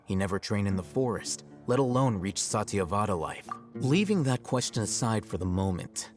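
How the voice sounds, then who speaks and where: An actor playing a serious, measured young male scholar in an anime video game. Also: on the low side, lively intonation, a little mellow, soft background music, lightly acted. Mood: grave, explaining.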